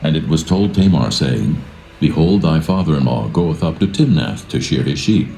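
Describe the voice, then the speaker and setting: Very deep, gravelly male scripture narrator. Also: expressive, normal speed, dark tone, slight background noise. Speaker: Bible narrator. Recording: roomy echo with music behind.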